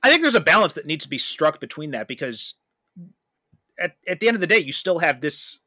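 A man hosting a casual film discussion, talking emphatically and haltingly, often repeating himself for stress. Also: a little high, animated, bright, forceful tone, no echo. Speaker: podcast host.

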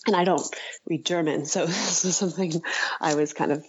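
A woman speaking as an interview guest, hesitant and halting as she thinks aloud in casual conversation. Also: deep voice, fairly even intonation, husky, lo-fi sound. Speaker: interview guest, writer and author.

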